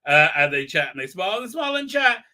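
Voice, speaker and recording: Sharp, high-voiced sarcastic man. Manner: rambling, halting, repeats words, sarcastic; exasperated, animated outbursts. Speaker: host of a fan review livestream. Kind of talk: casual mocking episode recap.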